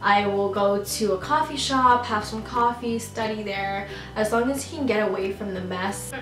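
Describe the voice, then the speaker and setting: A woman's voice: mid-range voice, normal speed, fairly bright tone, echoey room, soft background music, rough recording. A young woman from the Philippines who grew up in Canada, answering viewer questions in a chatty, giggly way full of "like"s and restarts. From Philippines, raised in Canada. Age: young adult. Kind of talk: casual chat.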